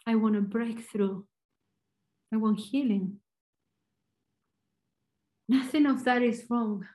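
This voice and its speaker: A woman with an Argentinian accent giving a short, earnest devotional talk, reflective and pausing. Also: fairly high pitch, mellow. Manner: earnest, reflective, slow pauses. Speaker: church speaker.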